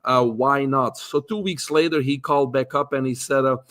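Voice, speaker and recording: A man in his fifties from Belgium with a Belgian accent, a guest relaxed telling his life story in casual talk with many fillers. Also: medium pitch, normal speed. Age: 50s. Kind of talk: casual interview chat.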